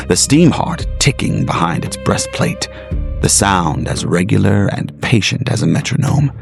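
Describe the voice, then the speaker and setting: A man giving measured, portentous scripted narration of a gothic tale. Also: sits low, vocal fry.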